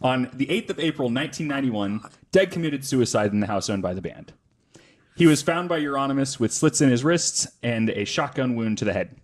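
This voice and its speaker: A man in the role of podcast host tells a story in a relaxed, conversational way. He works from notes, stopping for wry asides and correcting himself as he goes, at an ordinary middle pitch. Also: fairly quick.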